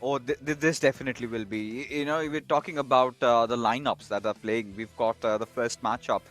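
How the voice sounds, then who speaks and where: A man commentating on an esports football tournament, stammering and filling pauses with "uh" as he previews matchups. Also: medium pitch, steady tone, fairly bright tone, soft background music. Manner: stammering, filler-heavy delivery. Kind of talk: casual commentary.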